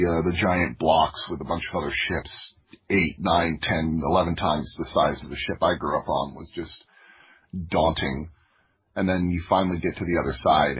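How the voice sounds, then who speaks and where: A man from Florida telling stories from his own life in a casual, halting way, thinking aloud as he goes. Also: very deep voice, creaky, husky. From Florida, United States. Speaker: podcast guest, audio drama creator. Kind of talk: casual autobiographical storytelling. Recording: dull, lo-fi audio.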